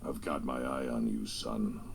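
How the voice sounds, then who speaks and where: Man playing a creaky, gruff football coach. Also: very deep voice, fairly even intonation, unhurried, a little hiss or hum, heavily acted. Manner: scolding, commanding, blunt. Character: stern, gruff middle-aged male American football coach. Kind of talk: audio drama acting.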